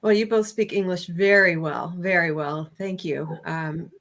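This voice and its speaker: A woman hosting an online interview over a video call, chatty and quick to laugh, with frequent ums and uhs. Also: on the low side, fairly even intonation. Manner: warm, laughs often, filler-heavy. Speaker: host.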